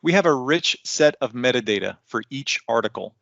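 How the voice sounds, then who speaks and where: A man explains technical material in an online conference presentation. His mid-pitched voice has a noticeable fry to it. He phrases things like an instructor, with light asides. The sound is close and dry, a little muffled and lo-fi. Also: animated, normal speed, fairly bright tone, no room sound. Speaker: technical content developer presenting.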